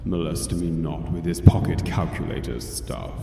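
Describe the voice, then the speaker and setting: An amateur actor playing a grandiose, weary, all-knowing computer in a radio comedy, pompous and deliberate. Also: male voice, rumbling low, gravelly. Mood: lofty, disdainful. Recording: echoing hall, distant, faint noise.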